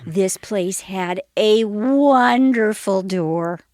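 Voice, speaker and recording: A woman's voice: medium pitch, drawn-out words, a little mellow, no room sound, clean studio sound. An actress playing an elderly woman who reminisces wistfully and theatrically about her racy youth in a comedy sketch. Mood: wistful, relishing.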